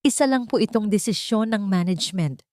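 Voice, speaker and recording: A woman's voice: medium pitch, a little fast, a little mellow, no echo, studio quality, lightly acted. A woman voicing a timid, apologetic office HR employee in a story. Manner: hesitant, polite. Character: apologetic, timid HR officer delivering bad news.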